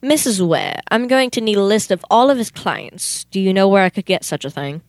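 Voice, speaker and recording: A young woman playing a businesslike, easily exasperated male detective in a comic old-time radio play, questioning in a deadpan way. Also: mid-range voice, fairly quick, dry recording, close to an everyday voice.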